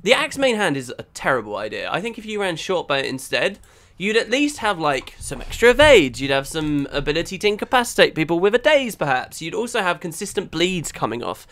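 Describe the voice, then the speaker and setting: A man with a bright, forceful voice talks through a game critique in casual speech. His pitch swings widely as he argues his points bluntly and emphatically. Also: very animated, a little fast, few pauses. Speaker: game build reviewer and critic. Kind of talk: casual critical gaming chat.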